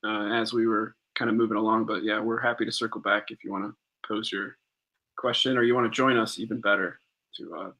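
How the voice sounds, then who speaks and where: A man's voice: fairly low pitch, fairly even intonation, a little fast, sharp tone. A man co-hosting a podcast in casual live-stream chat, easygoing and agreeable, reading out viewer comments. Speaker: podcast co-host.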